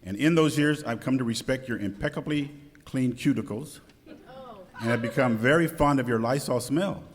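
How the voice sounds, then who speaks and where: A man's voice: medium pitch, moderate pace, lightly acted. A man playing a fond, smitten boss in an amateur live radio skit. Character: smitten male boss, fond and long-suffering. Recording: echoey hall with background noise.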